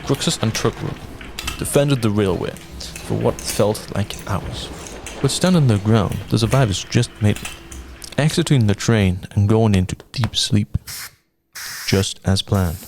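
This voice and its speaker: A young man narrating a radio drama in a measured storytelling way. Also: on the low side, gravelly, slight background noise. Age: young adult. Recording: narration over loud music.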